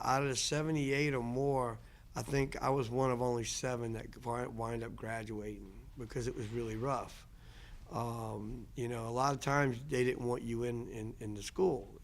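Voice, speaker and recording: A man, giving a talk about his life in an unhurried, reflective way. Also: mid-range voice. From Louisiana. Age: 60s. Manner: unhurried, reflective, trailing restarts. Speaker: guest lecturer. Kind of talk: personal storytelling talk.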